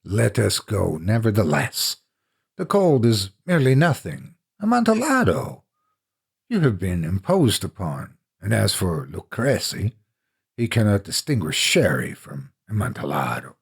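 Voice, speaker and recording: A man's voice: fairly low pitch, wildly swooping pitch, measured pace, vocal fry, breathy edge, full character voice. Man acting a drunken, boastful nobleman, wildly swooping voice. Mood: dismissive, boastful. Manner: incredulous, exclaiming, dismissive. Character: drunken, boastful nobleman in carnival motley, coughing.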